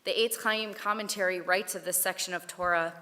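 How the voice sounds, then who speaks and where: A woman giving a prepared Torah talk at a service, with a measured, deliberate delivery. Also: fairly low pitch, fairly even intonation, sharp tone. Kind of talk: prepared sermon read aloud. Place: synagogue sanctuary. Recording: long hall reverb.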